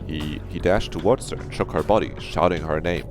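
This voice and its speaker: A man's voice: very deep voice, brisk, over music. A man streaming a visual novel, reading it aloud expressively and breaking off for casual asides. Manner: dramatic, expressive reading, swears freely.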